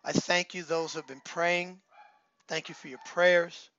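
A man's voice: fairly high pitch, steady tone, a little slow, pushed and bright, lo-fi sound, a little hiss or hum. A man pastor giving announcements and appeals in an online church service, earnest and repetitive, his voice still worn from a recent cold. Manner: earnest, repeats key phrases, many filler ums. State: recovering from a cold, voice not fully back.

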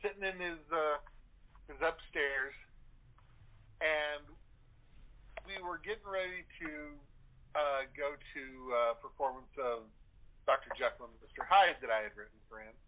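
A man with a fairly high voice tells a casual story as an interviewed playwright. His audio is thin and limited, like a phone call. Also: unhurried, pauses often.

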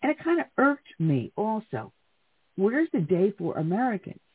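Husky indignant man on talk radio. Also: sits high, big pitch swings, moderate pace. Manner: indignant, opinionated, storytelling. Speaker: radio talk show co-host. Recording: tinny phone-like sound.

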